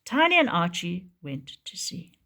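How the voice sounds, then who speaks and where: A woman teacher reading a picture book aloud for young children in an expressive storytelling manner. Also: on the low side, unhurried, gravelly. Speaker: preschool teacher.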